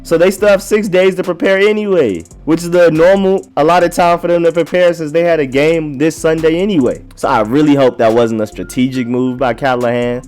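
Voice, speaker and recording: A young man hosts a sports show, his voice hoarse and worn from a cold. He talks in a slangy, chatty way and leans hard on his points. Faint music plays under him. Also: fairly high pitch, steady tone, a little fast, hardly any pauses, a little mellow. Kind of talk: casual sports commentary.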